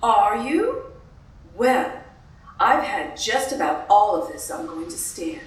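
A woman's voice: on the high side, very animated, slow, distant mic. A woman playing a spirited, stubborn young widow in an audio drama, prim and indignant as she stands her ground. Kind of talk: theatrical audio drama acting. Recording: echoey room, off-mic, music behind.

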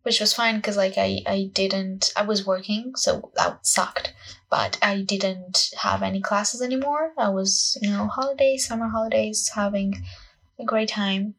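A woman's voice: mid-range voice, measured pace, vocal fry, fairly bright tone, husky. A young woman of about twenty from Portugal, speaking with a Portuguese accent in a casual, rambling, self-conscious solo podcast chat, often saying "like". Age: young adult, about 20. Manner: self-conscious, scattered, peppered with "like". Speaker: podcast host, acting student.

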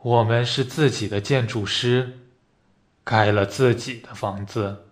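A man playing a solemn, resigned, tender dying patient in an amateur radio play, speaking in a halting, deliberate way. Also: medium pitch, fairly even intonation, natural voice. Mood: wistful, resigned. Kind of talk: amateur radio play reading of stage drama. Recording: noisy recording with clicks and throbbing.

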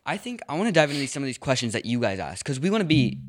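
A teenage boy co-hosting a podcast with a friend. He talks quickly in a loose, chatty way, with a voice of medium pitch. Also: very animated. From Los Angeles, USA. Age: teens. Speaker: podcast co-host. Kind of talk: casual chat.

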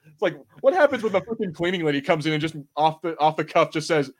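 Male podcast co-host, slightly high voice, loose rambling film banter, salty language. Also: expressive, fast. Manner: rambling, informal, swears freely. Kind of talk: casual watch-party film chat.

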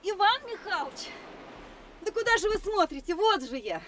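A woman's voice: extremely high pitch, very animated, normal speed, fairly bright tone, slight background noise, full character voice. An actress playing a flustered, tender, earnest woman in her thirties in a radio play, nervously repeating herself. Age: 30s.

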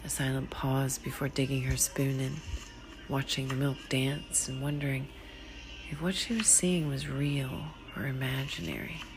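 A woman reading a literary short story in a hushed, deliberate, dramatic way, often sinking to a whisper. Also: extremely low pitch, little pitch movement, rough recording. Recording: music behind the voice. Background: music.

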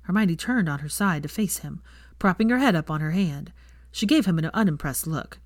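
A woman's voice: fairly low pitch, lively intonation, normal speed, no room sound, clean studio sound. A woman reading fan fiction aloud as scripted story narration. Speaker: fan fiction audiobook reader.

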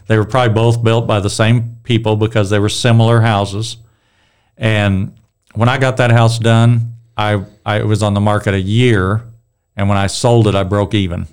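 A man's voice: lowish voice, little pitch movement, normal speed, clear, resonant tone. A middle-aged man with a strong voice leading a casual Bible discussion, rambling and restarting his sentences. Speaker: Bible study leader.

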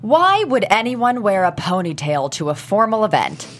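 A woman acting a sharp-tongued, sarcastic divorced mother in her sixties at a script read. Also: mid-range voice, very animated, normal speed, a little hiss or hum, lightly acted. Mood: dry, sour. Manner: dry, tart, disapproving. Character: sharp-tongued sixty-four-year-old divorced mother, fussy and sarcastic.

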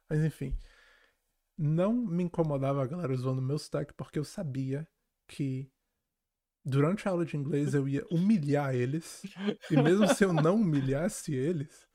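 A man's voice: on the high side, moderate pace, dark tone. A man telling personal stories in casual chat, acting out quotes and often checking that the listener follows.

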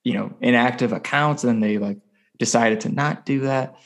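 A male podcast host from the US Midwest holds a casual conversational interview. His voice sits at a middling pitch, and he keeps a joking, self-deprecating way of talking. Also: normal speed. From US Midwest (Ohio).

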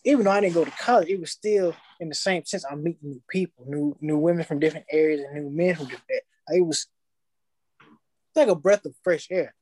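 A young man, telling his story in casual podcast chat, slangy and animated, acting out his past thoughts. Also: fairly high pitch, a little fast, slight background noise, rough recording.

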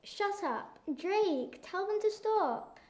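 A woman's voice: extremely high pitch, expressive, slow, a little mellow, heavily acted. A female performer playing a friendly, plucky young orphan girl in a wartime drama, earnest and outspoken. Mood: upset, defiant.

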